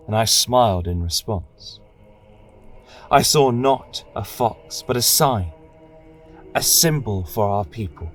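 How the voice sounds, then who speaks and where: A man voicing a serene female angel and warrior queen in a fantasy audio tale, soft, reverent and stately. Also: lowish voice.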